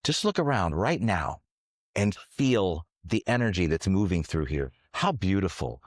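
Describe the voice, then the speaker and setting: A man with a big voice co-hosts a talk podcast and responds with eager agreement. His pitch moves around a lot as he tells stories. The sound is dry and close-miked. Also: measured pace, no room sound. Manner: enthusiastic, affirming, animated storyteller. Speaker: podcast co-host. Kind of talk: casual conversational chat.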